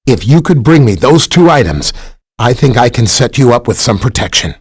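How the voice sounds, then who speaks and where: Male scientist superhero, husky medium voice, helpful, earnest, technical, video game dialogue. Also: mid-range voice, big pitch swings, normal speed, a little mellow. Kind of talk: scripted game dialogue, explaining and briefing.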